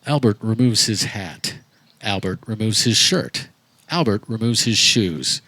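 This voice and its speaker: A man's voice: fairly low pitch, lively intonation, a little slow, bright, forceful tone, no echo. A man reading scripted stage directions as the narrator of a comedy, in a matter-of-fact way. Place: backyard, live performance.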